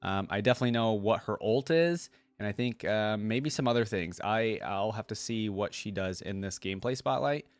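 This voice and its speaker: A man's voice: lowish voice, normal speed, few pauses, no echo. A man hosting a reaction video, giving informal, conversational commentary with rambling asides.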